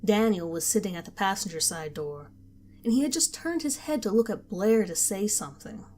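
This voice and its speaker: A woman narrating a first-person horror story in a calm, measured storytelling manner. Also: medium pitch. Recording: faint background music.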